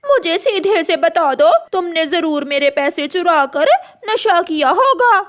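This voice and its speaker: A woman's voice: extremely high pitch, expressive, unhurried, fairly bright tone, exaggerated voice. A woman voicing a quarrelsome, melodramatic mother bird in a cartoon, scolding and dramatic. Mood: angry, accusing. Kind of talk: exaggerated cartoon dialogue.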